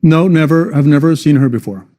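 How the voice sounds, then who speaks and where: Quick interpreter man, mellow voice. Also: fairly high pitch. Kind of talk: simultaneous interpreting.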